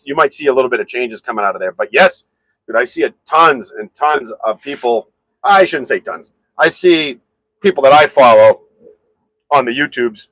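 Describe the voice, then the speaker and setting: Loud-voiced man chatting on a live stream. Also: medium pitch, no room sound, rough recording. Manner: rambling, chatty, reads and answers viewer comments. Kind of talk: casual live stream chat. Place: home garage.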